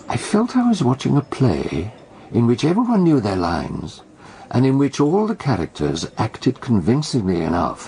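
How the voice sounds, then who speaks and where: An actor playing a shrewd, brooding British detective in a radio drama, musing in a measured way with thoughtful pauses. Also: male voice, medium pitch, gravelly, mellow, exaggerated voice. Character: shrewd, brooding male detective recounting a murder trial.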